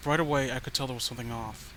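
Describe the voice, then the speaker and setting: A man's voice: medium pitch, fairly even intonation, racing, a bit bright, poor audio. A man narrating a horror story in a casual manner, stumbling over the odd word. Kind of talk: dramatic horror story reading. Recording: faint background noise.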